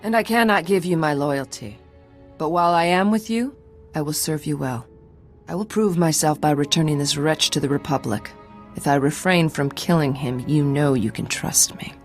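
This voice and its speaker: An actress voicing a proud, vengeful clan warrior in a video game, speaking in a formal, solemn and intense way. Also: female voice, low voice, moderate pace, faint music behind, lightly acted. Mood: solemn, resolved. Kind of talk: dramatic video game acting.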